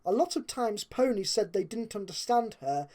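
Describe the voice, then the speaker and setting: A woman's voice: medium pitch, expressive, normal speed, mellow. A woman narrating a story expressively, as if reading a storybook aloud.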